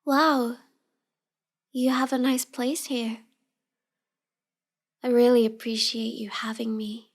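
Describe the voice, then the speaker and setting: A woman playing a shy, sweet young villainess who feigns helplessness in a halting, apologetic way for an intimate roleplay audio. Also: fairly high pitch, level tone. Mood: sweet, guarded.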